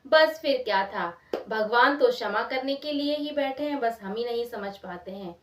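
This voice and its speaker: High voiced lady telling a moral story, conversational, roomy echo, bit far from mic. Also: moderate pace. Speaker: storyteller, channel host. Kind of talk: expressive moral storytelling in everyday words.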